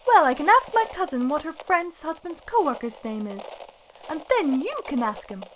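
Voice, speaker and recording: A woman's voice: high voice, sing-song, normal speed, noisy background. A woman playing a chatty, gossip-loving caller to a radio show, breezy and earnest, in a radio drama. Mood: eager, gossipy.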